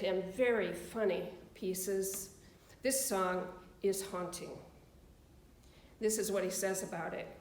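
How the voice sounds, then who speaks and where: A woman speaks as a church service leader, reading to her congregation. Her delivery is slow and measured, at a middling pitch. The room adds a noticeable echo around her voice. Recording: roomy, echoing voice recording.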